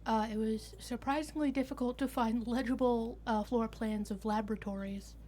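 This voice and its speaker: Woman, fairly high voice, casual conversation, tabletop game master. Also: fairly even intonation, normal speed, a little mellow, slight background noise. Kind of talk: casual chat.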